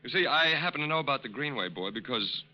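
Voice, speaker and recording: A man's voice: mid-range voice, expressive, quick pace, lightly acted. An actor in a 1940s radio drama playing a charming con man who poses as a courtly army major. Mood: ingratiating. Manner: courtly, ingratiating, hesitant politeness. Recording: dull, noisy old radio recording.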